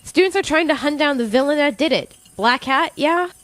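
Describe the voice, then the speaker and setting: A woman's voice: high-pitched, animated, a little fast, dry recording. A woman playing a caring but stern college professor in an audio drama, proper and motherly. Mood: grave.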